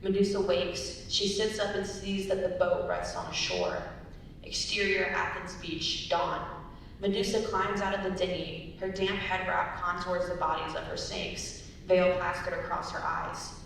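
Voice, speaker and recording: Low, creaky young woman narrating, echoey hall. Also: measured pace, a bit bright. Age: young adult. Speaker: narrator reading stage directions. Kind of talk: scripted narration. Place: large echoey indoor performance space. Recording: very echoey hall, distant mic, rumbly noise.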